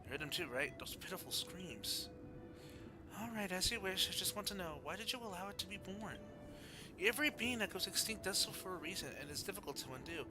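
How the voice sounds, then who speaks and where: A man voicing a solemn, compassionate fantasy noble in a formal, grave, measured way for a game story. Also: sits high, lively intonation, over music, rough recording. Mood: somber.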